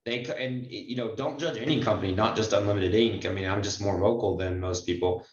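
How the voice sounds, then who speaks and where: A man with a fairly low voice hosts an advice session over an online call. He explains things conversationally and with emphasis. The sound is roomy and somewhat dull. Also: a little fast. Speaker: host and notary trainer taking questions. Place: group video call.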